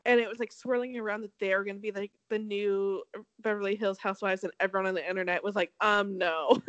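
A woman's voice: mid-range voice, steady tone, fairly quick, a bit bright, dry recording, muffled recording. A young woman from Connecticut co-hosting a casual gossip chat, blunt and catty, laughing often and filling with ums and likes. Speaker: podcast co-host.

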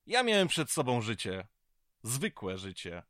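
An actor playing a self-justifying, condescending ex-boyfriend in an audio drama, defensive and patronising. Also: male voice, medium pitch, huge pitch swings, unhurried, fairly bright tone, dry recording, clean studio sound. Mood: defensive, reproachful.

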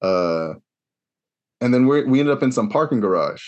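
Young man from kansas, podcast co-host, animated casual storytelling, mid-pitched voice. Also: moderate pace. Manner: animated, acts out quoted voices.

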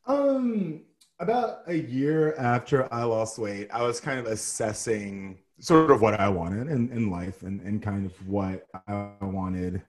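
Animated, playful man in his thirties. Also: lowish voice, slow pace. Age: 30s. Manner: animated, playful storyteller with sassy asides. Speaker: podcast guest. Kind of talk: casual interview chat.

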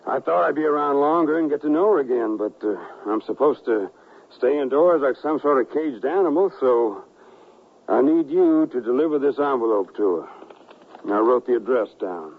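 Gravelly, sly old western colonel. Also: male voice, medium pitch, animated, normal speed. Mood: coaxing. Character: sly, stubborn, hard-drinking older colonel and fugitive. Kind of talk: old-time radio western acting. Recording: tinny, narrow-band radio drama recording.

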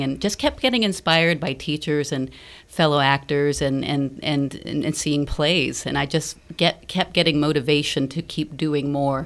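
Female theater director guest, American, low voice, conversational, thoughtful and a little halting. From Fresno, California, United States. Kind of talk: conversational interview answers. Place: radio studio.

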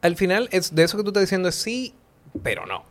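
A man's voice: sits high, very animated, fast. A man from the Dominican Republic with a Dominican accent, a guest in a casual philosophical chat, thinking aloud with many fillers and asides. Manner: talks things through with many fillers and "¿tú entiendes?" asides.

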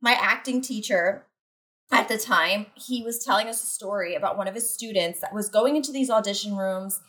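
A woman chatting casually about acting, telling a story in an animated way and quickly backing up her co-host. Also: mid-range voice, rough recording. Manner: animated, quick agreeing interjections.

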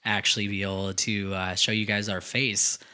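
A man's voice: fairly low pitch, flat delivery, normal speed, sharp tone, clean tone. A man co-hosting a casual tech podcast, chatty and laughing often, with lots of fillers. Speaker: podcast co-host. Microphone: streaming setup mic.

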